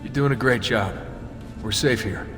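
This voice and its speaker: An actor playing a steady, reassuring man in hiding in a video game. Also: medium pitch, normal speed, close to an everyday voice. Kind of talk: video game dramatic acting. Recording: long reverb under clear music.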